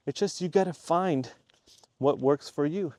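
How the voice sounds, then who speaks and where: A man from Switzerland talks straight to his viewers in a loose, rambling way, circling back to the same point again and again. His voice sits a bit higher than most men's. The sound is dry and close, with no room echo. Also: a little slow, darkish tone. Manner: chatty and rambling, repeats himself for emphasis. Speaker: YouTube creator. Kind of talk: casual talk to camera.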